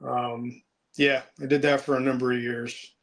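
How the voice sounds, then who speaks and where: A man in his late fifties answering interview questions in a relaxed, chatty way, often pausing with ums and restarting his sentences. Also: medium pitch. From United States. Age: 50s. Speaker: interview guest. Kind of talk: casual interview chat.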